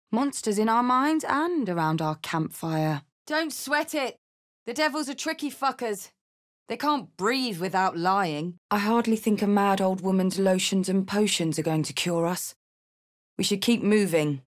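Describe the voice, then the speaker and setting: Brash, expressive warrior woman played by an actress. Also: medium pitch, lightly acted. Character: brash, hot-headed woman warrior in a fantasy adventure. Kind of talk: fantasy video game character lines and spell calls.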